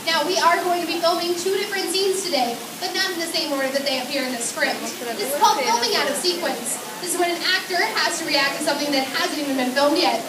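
High-voiced woman hosting over a theme park PA. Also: quick pace, hardly any pauses. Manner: energetic, upbeat, directing the crowd. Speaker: theme park show director host. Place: outdoor theme park stage by a water tank. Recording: distant echoey PA, loud crowd and noise.

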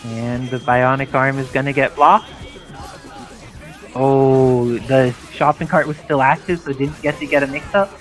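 A man's voice: medium pitch, fairly even intonation, measured pace. Male esports commentator, mid-range voice, explanatory play-by-play with faint game music behind. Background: game music under the commentary.

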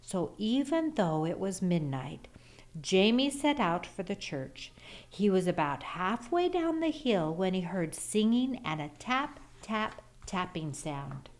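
A woman reading a children's picture book aloud as an expressive storyteller. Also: medium pitch, slow.

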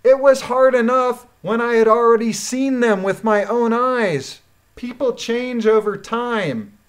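A woman's voice: a little high, a little slow. Blunt, indignant woman commentator. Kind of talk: reading a court transcript aloud with critical commentary.

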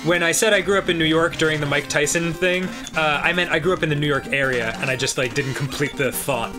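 A man's voice: fairly high pitch, fast talker. A man from New Jersey, co-hosting a gaming show and telling casual stories with lots of laughter. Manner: animated, laughs often, acts out quoted voices. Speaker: co-host. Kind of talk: casual storytelling while gaming. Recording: game music behind. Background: video game music.

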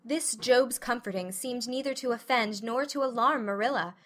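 A woman's voice: a little high, moderate pace. A woman reading scripted literary narration as an audiobook narrator.

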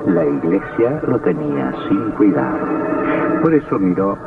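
An actor playing a sinister, courtly count who narrates horror tales in a theatrical, grandiloquent old radio style. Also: male voice, low voice, sing-song, measured pace, exaggerated voice. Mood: suave, sinister. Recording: muffled old radio, distant, loud music.

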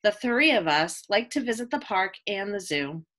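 A woman reading a children's picture book aloud like a teacher, with expressive delivery aimed at young listeners. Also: medium pitch, normal speed. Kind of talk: children's read-aloud, scripted narration.

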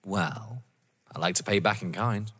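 An actor playing a wounded, vengeful and menacing man in an audio drama, speaking with halting dramatic pauses. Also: lowish voice, fairly bright tone, breathy edge. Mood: wounded, intense. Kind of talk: full-cast audio drama acting of a stage play. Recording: long hall reverb.

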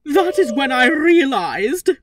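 Very high-pitched, grandiose robot queen villain. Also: sing-song, full character voice. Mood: grand musing. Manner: theatrical, deliberate, grandiose. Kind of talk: fan-dubbed video game villain monologue. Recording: background music under the voice.

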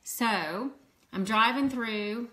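A woman's voice: on the high side, extremely slow, bright, forceful tone. A woman in her fifties with a mild Southern accent, chatting on a phone livestream at home, greeting viewers and laughing easily. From Louisiana, US. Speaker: makeup seller hosting a livestream. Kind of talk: casual livestream chat. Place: indoors at home, at a table. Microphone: phone camera close up.

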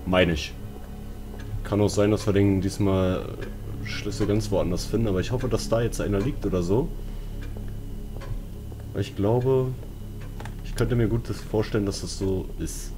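Low-voiced man, game commentary over music. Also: a little slow, pauses often. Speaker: let's play streamer. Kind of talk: casual horror game commentary. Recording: eerie game music behind voice. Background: horror game music and sounds.

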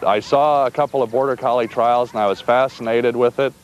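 A man from Iowa, a sheepdog trainer, talking calmly and plainly outdoors, with some fillers. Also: medium pitch, brisk, rough recording. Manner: calm, plainspoken, with fillers and restarts. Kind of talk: casual interview talk. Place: outdoors on a farm.

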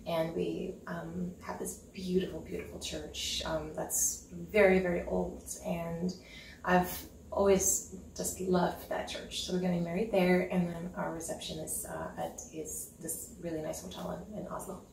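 Norwegian woman, gravelly low voice, roomy. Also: level tone, measured pace, darkish tone, a little hiss or hum. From Norway. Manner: chatty, giggly, lots of ums and restarts. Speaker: interview guest, concert pianist. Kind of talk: casual interview chat. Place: home living room with piano, indoors. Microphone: far camera mic. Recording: echoey room, mic at a distance.

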